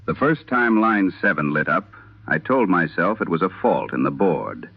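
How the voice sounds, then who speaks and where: A man voicing an eighty-one-year-old former telephone operator recounting her past in a measured, grave, literary way. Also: low voice, sing-song, vocal fry, dry recording, full character voice. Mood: grave, confiding. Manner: measured, grave, literary first-person storytelling. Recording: muffled band-limited old radio sound.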